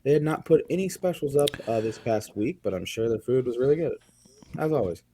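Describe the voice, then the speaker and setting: Young man with a recovering hoarse voice. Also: mid-range voice, animated, a little fast, mellow, a little hiss or hum. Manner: chatty, rambling, frequent fillers. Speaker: co-host of a sports podcast. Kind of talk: casual sports chat.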